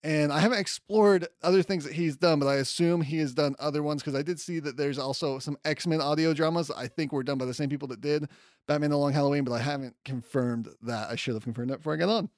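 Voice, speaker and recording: Guy doing a casual podcast, kinda higher voice, geeky enthusiastic chatty, really dry room sound. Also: fast, no echo. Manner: enthusiastic, conversational, self-deprecating asides. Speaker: podcast host. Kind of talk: casual comics news commentary.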